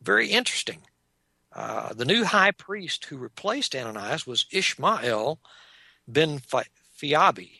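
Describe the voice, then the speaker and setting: A man with a Texan accent giving an unscripted lecture on church history, measured and explanatory, often pausing on "uh". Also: a little high, big pitch swings, creaky, sharp tone, husky, no room sound. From Texas. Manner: measured and explanatory, with frequent "uh" pauses. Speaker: Bible teacher.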